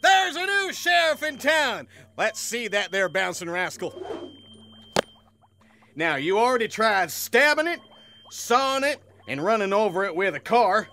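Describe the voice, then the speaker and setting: A man's voice: sits high, sing-song, measured pace, bright, forceful tone, a little hiss or hum, exaggerated voice. A man playing a brash, bickering lab technician who talks in folksy cowboy slang in a comedic audio drama. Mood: folksy, cocky.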